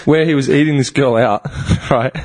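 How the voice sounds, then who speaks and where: A man's voice: mid-range voice, normal speed, poor audio. A man in his thirties in casual, slangy banter, blunt and often swearing. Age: 30s. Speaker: talk show host.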